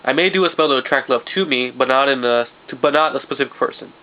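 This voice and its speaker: A man's voice: medium pitch, fast talker. A young man with a stuffed-up nose, talking loosely in a vlog and often restarting his sentences. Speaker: vlogger. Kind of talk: casual vlog monologue. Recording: dull lo-fi home video with faint hiss.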